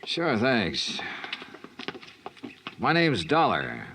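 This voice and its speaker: An actor playing a dogged, wry American insurance investigator in a 1950s radio drama, with a clipped hard-boiled manner. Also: male voice, medium pitch, huge pitch swings, very slow, bright, forceful tone, heavily acted. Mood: probing, casual. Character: dogged, wry male insurance investigator narrating his case. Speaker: radio detective lead and narrator. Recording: noisy 1950s radio recording.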